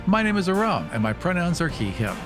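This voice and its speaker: A man hosting a podcast with casual comedic banter, joking in a playfully deadpan way. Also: on the high side, brisk, music in the background. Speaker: podcast host and producer.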